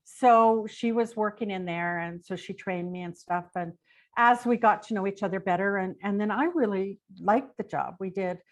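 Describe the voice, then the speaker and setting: Older Canadian female interview guest, middle-pitched voice, chatty and anecdotal storyteller. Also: moderate pace. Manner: informal, rambling, anecdotal. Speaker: interview guest, retired police dispatcher. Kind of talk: conversational personal storytelling.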